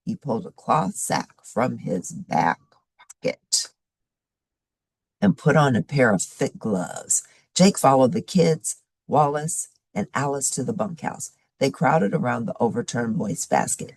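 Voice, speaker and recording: A woman reading a children's chapter book aloud in an animated, expressive storytelling manner. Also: rumbling low, measured pace, slightly rough, no echo. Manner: animated storytelling with varied character voices. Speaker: story reader.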